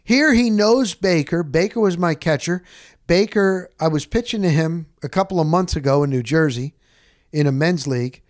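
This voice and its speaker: A middle-aged man with a fairly high-set voice, recorded dry without room sound. He tells stories like an off-duty sportscaster, rambling and candid. His delivery is animated and salty, and he repeats phrases to drive them home. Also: normal speed, dry recording. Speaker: sportscaster and podcast host. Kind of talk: casual rambling storytelling.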